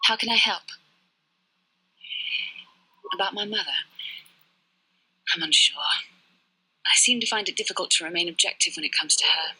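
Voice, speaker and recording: A woman's voice: fairly low pitch, fairly quick, sharp tone, husky, heavily acted, poor audio. A woman playing a proud, guarded young warrior-monk and resentful daughter in a video game, speaking formally, clipped and earnest. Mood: troubled, resentful. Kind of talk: dramatic scripted game dialogue.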